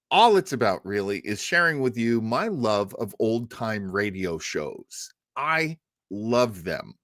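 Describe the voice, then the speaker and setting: Animated, unhurried man hosting a show. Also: mid-range voice, no room sound, clean studio sound. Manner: rambling, conversational, enthusiastic. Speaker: show host. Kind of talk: casual livestream chat introducing old radio shows.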